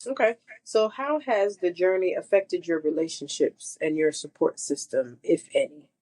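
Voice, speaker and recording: A woman's voice: fairly low pitch, normal speed, darkish tone. A woman interviewing her sister in a casual interview, supportive and nodding along with short affirmations. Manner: supportive, asks questions, gives many short affirmations.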